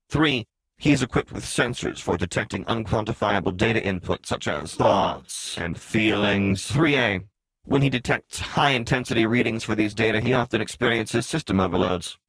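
A man's voice: fairly low pitch, normal speed, a bit bright, breathy edge, no room sound, voice pushed up, low-quality recording. A man voicing a smug, sardonic little droid in a story, in a clipped, formal, robotic way. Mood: matter-of-fact. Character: smug, sardonic little astromech droid, read as translated text.

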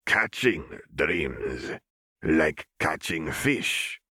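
A huge, cryptic fish-folk cook, rumbling out halting, broken phrases. His voice sits extremely low and gravelly, with a husky edge, and each word drags out very slowly. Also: big pitch swings, sharp tone, no room sound, clean studio sound, full character voice. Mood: calm, cryptic.

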